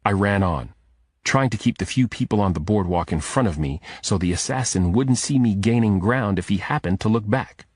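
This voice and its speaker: A man's voice: lowish voice, expressive, moderate pace, a little mellow, slightly rough, dry recording. A man reading scripted first-person thriller narration as an audiobook narrator.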